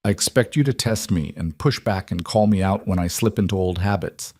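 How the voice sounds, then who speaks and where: A man voices a remorseful, controlling mafia boss in an audiobook romance. He is a low-voiced husband, measured and insistent, in a dry recording. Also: darkish tone, no echo. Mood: remorseful, pleading.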